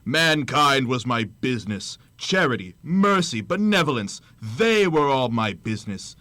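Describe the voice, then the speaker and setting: A man's voice: mid-range voice, very animated, bright, forceful tone, no room sound. Guy doing a sad commanding ghost in a radio play, solemn, draws words out, dry close sound. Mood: remorseful, impassioned. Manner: solemn, declamatory, drawn-out words. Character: mournful, commanding ghost of a dead male businessman. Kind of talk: radio drama acting.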